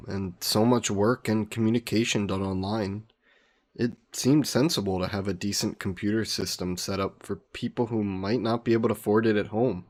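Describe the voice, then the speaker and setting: Low-voiced courtly vampire lord, played by a man. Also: darkish tone, natural voice. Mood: warm, flirtatious. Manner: formal, genteel, measured phrasing. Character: ancient aristocratic male vampire, courtly, protective, gently teasing. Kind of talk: scripted romantic audio roleplay.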